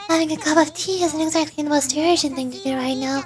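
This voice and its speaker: An actress voicing a small, childlike fairy companion in a video game, sweet and chatty. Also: female voice, sits high, steady tone, fast talker, lightly acted. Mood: sympathetic.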